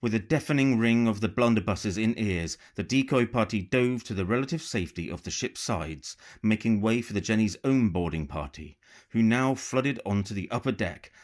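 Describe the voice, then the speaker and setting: Male narrator, deep-leaning voice, lively intonation, measured, dramatic, scripted adventure storytelling. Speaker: author reading own novel.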